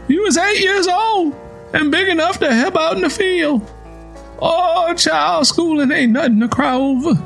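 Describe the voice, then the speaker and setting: A man voicing a stern, weary father, a poor farmer lecturing in a folksy, drawn-out way. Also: extremely high pitch, creaky, a bit bright, pitched above the performer's own voice, full character voice.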